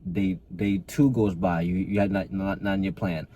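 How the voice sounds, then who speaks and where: A young man with a low, mellow voice speaks straight to his viewers as a fitness coach. He pushes hard and earnestly, doubling back on his words as he goes. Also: little pitch movement, normal speed, slight background noise. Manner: repeats and restarts words, earnest motivational push. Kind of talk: casual talk to camera.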